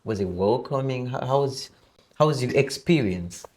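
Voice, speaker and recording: A man's voice: medium pitch, animated, moderate pace, a little mellow. A man hosting a casual conversational interview, often affirming and echoing what he hears. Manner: frequent affirming interjections, echoes the guest. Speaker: podcast host, interviewer.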